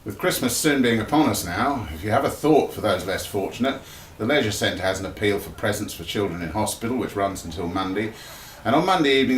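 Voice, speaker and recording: Low-voiced British man reading news, roomy sound. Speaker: local news reader. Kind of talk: scripted news bulletin reading. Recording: roomy sound with faint background noise.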